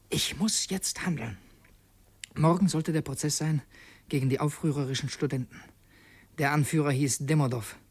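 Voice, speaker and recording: An actor playing a cunning infiltrator posing as a loyal secretary, in a measured, formal, husky radio drama style. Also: male voice, medium pitch, natural voice. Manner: measured, brooding diary narration, formally courteous to employers. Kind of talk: German radio drama acting.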